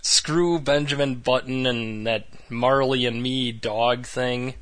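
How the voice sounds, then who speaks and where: A man's voice: medium pitch, steady tone, takes it slowly, a bit bright. A man co-hosting a podcast in casual, rambling chat with wry, sarcastic asides. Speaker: podcast co-host.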